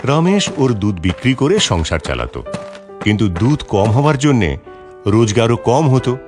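A man's voice: mid-range voice, very animated, unhurried. A man narrating a scripted moral story for children. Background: background music.

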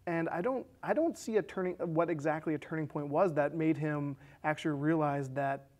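A man's voice: a little high, normal speed, darkish tone. A man in his thirties discussing film casually as a panelist, on a lapel mic in a small studio. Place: small indoor studio set with curtains.

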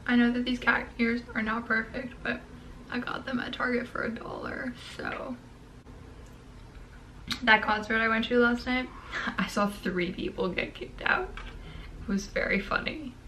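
A woman's voice: on the high side, fairly even intonation, a little slow, long pauses, bright, forceful tone. A young woman chatting casually to the camera in her bedroom, with a crackly voice, eating while she talks. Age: young adult. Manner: chatty, eating ice cream while talking. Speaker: vlogger. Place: small bedroom, indoors.

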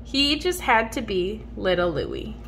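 A woman reading a picture book aloud to a young child in an expressive, child-directed way, drawing out words. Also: medium pitch, measured pace. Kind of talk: read-aloud storytelling to a young child, with simple questions. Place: indoors at home on a dark wood floor. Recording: noisy home phone recording.

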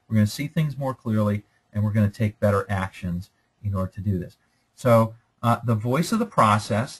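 A man's voice: on the low side, breathy edge, low-quality recording. A man lecturing over slides in a conversational, explanatory way, often checking in with "okay?".

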